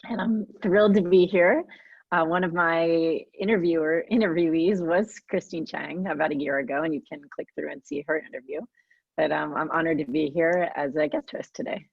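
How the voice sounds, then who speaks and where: Guest host, woman, husky, brisk and friendly, lo-fi dry call audio. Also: low-pitched, fairly even intonation, no room sound. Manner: friendly and brisk, with light laughs. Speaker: guest host, writer and editor. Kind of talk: casual interview questions.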